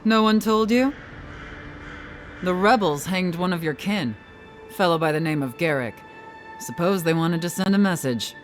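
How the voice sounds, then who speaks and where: A man's voice: high-pitched, lively intonation, moderate pace, long pauses. A man playing a chatty, knowing town informant in a video game, breezy and wry. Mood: grave, knowing. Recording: background game music.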